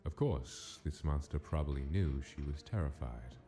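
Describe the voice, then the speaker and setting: A man's voice: a bass voice, lively intonation, moderate pace. A man giving dramatic scripted narration for a story in a comic dub. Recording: loud music under the voice. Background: music.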